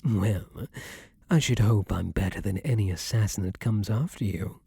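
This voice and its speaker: A man performs a vampire king in an intimate ASMR roleplay, with a low, mellow voice recorded close and dry. He speaks in formal, archaic courtly phrases, measured and tender toward a former enemy. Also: vocal fry, breathy edge, dry recording, exaggerated voice. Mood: wry, admiring.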